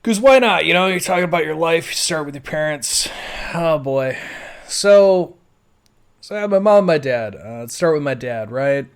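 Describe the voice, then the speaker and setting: Higher-pitched American man, casual and rambling. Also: lively intonation, moderate pace, clean tone. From western New York State, USA. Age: 30s. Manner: rambling, informal, blunt and sweary. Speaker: podcaster telling his life story. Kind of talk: casual unscripted audio blog.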